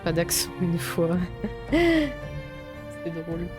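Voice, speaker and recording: Young woman from Belgium, casual let's-play host, slightly low pitch, chatty and loose with swearing, soft game music underneath. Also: sing-song, very slow. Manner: chatty, swears often, thinks aloud. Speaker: streamer playing a video game. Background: faint game music.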